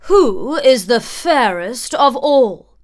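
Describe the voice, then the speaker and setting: A woman's voice: a little high, lively intonation, slow. A woman voicing a vain, wicked fairy-tale queen with a furious temper, imperious and theatrical. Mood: vain, demanding.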